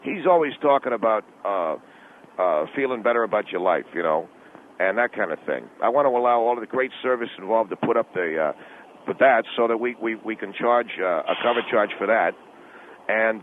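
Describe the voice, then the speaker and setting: A man plays a brash, money-minded middle-aged father defending himself on a radio comedy call-in. He argues haltingly and keeps justifying himself. The voice comes through a thin phone line with faint noise. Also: medium pitch, lively intonation, lightly acted. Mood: defensive. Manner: argumentative, halting, self-justifying. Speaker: radio show guest.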